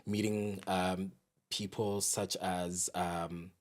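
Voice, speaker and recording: A man's voice: sits low, flat delivery, extremely slow. A man with a South African accent talking reflectively as a guest in a casual podcast interview. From Johannesburg, South Africa. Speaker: guest, DJ and ballroom event curator.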